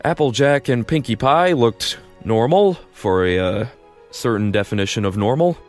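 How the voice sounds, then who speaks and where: Wry male narrator over soft music. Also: mid-range voice, expressive, moderate pace. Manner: wry, casual, dry asides. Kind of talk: second-person story narration.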